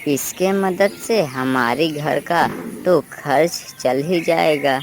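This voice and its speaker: A woman's voice: low voice, takes it slowly, noisy background. A woman voicing a devoted, brave young crow son with an earnest, reassuring manner in a children's cartoon. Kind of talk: Hindi children's cartoon story dialogue.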